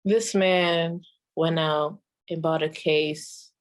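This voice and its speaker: Casting: actress as sassy older female radio comic, 1940s stand-up, unhurried drawl, low-leaning pitch, deadpan griping. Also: background noise. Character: sassy old comedienne griping about her ancient husband. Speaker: comedienne performer.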